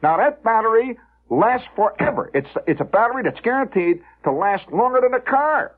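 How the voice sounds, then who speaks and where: A man putting on an excitable, gullible New Jersey guy who insists on rumors in a rambling, indignant way. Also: high voice, normal speed, pitched above the performer's own voice. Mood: insistent. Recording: dull old radio recording.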